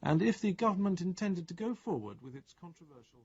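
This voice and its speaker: Male news announcer, formal, sober, impersonal, somewhat rough voice, muffled radio drama bulletin. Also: sits high, sing-song, heavily acted. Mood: sober, neutral. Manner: measured, impersonal. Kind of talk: formal broadcast news reading.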